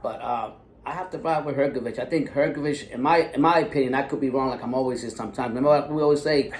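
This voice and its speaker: A man's voice: mid-range voice, fast talker, echoey room, slight background noise. A man co-hosting a boxing talk show, giving blunt, profane fight predictions. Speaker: co-host and fight analyst. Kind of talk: casual sports talk.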